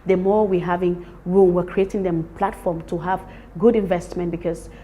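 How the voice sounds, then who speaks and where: Low, mellow-voiced Cameroonian woman, emphatic. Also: steady tone, normal speed, a little hiss or hum. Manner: emphatic, repeats words for stress. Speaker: summit organiser being interviewed. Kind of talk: promotional interview talk.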